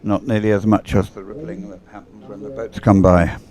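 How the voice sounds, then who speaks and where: A man's voice: on the low side, brisk, darkish tone. A man giving unscripted live sports commentary in a hesitant, rambling, conversational way. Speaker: race commentator. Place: outdoors beside the water at a regatta.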